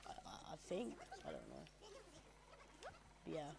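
A man's voice: on the high side, wildly swooping pitch, unhurried, vocal fry, a crowd in the background. A man with a sniffly, stuffed-up voice giving offhand commentary while playing a shooter game, muttering asides to his viewers. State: sniffly from hay fever. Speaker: gaming channel host. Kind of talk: casual gameplay commentary.

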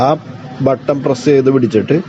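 A man with a Malayali accent gives an informal tutorial, explaining in a halting way with repeats and pauses. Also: medium pitch, warm tone. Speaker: presenter of a tech explainer. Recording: dull lo-fi audio with clear background noise.

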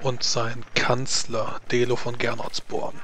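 A man's voice: medium pitch, monotone, slow pace, fairly bright tone. A man running and playing a tabletop roleplaying game, talking in a casual, jokey way. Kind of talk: tabletop roleplay, explaining and acting scenes. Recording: clearly noisy microphone.

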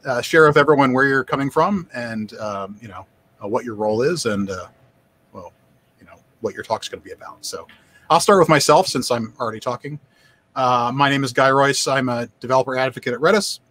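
An older man, hosting a casual live stream in a halting, self-deprecating way. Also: mid-range voice, fairly bright tone. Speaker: host, developer advocate. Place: indoor home room, on a video call.

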